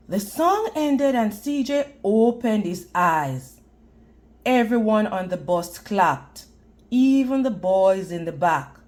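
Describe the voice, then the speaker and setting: A woman reads a children's story aloud at a very unhurried pace. Her pitch sits in a middle range, and she has a deliberate, teacherly delivery, addressing young listeners directly. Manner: deliberate, teacherly, pausing to quiz and praise listeners. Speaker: children's read-aloud reader.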